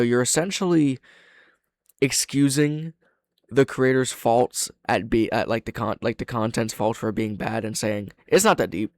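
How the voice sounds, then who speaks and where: A man's voice: on the low side, dry recording, clean studio sound. Blunt man giving casual reaction commentary. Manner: blunt, opinionated, heavy swearing. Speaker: reaction host and video reviewer.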